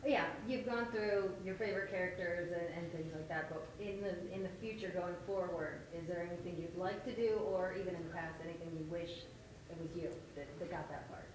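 A woman's voice: on the low side, a little fast, background noise. A woman in the audience asking a careful question at a convention panel. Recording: echoey hall recorded from far off.

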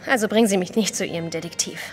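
A woman's voice: fairly low pitch, rapid-fire, soft background music, close to an everyday voice. An actress playing a frightened, indignant young woman in an audio drama, stammering hesitantly at times and speaking emphatically. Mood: upset. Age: young adult.

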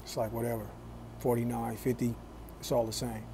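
A man in his forties talking to his phone camera in a small room, slow and deliberate, with a preachy tone. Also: medium pitch, little pitch movement, vocal fry, warm tone, a little hiss or hum. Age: 40s. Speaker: vlogger. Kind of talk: casual talk to camera.

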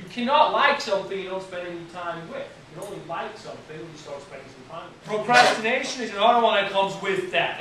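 A man, giving an animated classroom lecture as an anatomy instructor and often restarting his sentences. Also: high-pitched, slight background noise. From Switzerland. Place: college classroom, indoors. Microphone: far camera at the back of the room. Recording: echoey classroom from far off.

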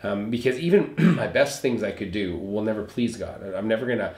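A man's voice: lowish voice, brisk, darkish tone. A man speaking as a pastor, explaining scripture in an earnest, conversational recap of a sermon, repeating points for emphasis. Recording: roomy echo.

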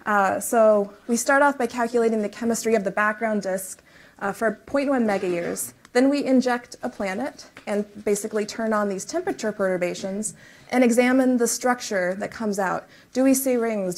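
Woman giving a technical science talk. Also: mid-range voice. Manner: explains in measured technical steps with fillers. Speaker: research speaker. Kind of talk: conference talk and Q&A. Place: lecture room.

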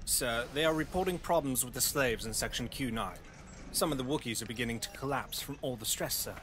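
A man's voice: mid-range voice, a little fast, a bit bright, close to an everyday voice. Man as deferential Imperial commander, noisy background. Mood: uneasy. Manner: formal, hesitant, placating. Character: deferential, cautious male Imperial commander reporting to superior. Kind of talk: dramatized sci-fi audio drama acting.

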